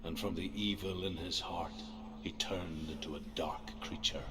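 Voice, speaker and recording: A man playing a grave teller of Norse legends, narrating in a measured, solemn way in a video game. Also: low voice, fairly even intonation, long reverb tail, natural voice. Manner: measured, solemn oral storytelling.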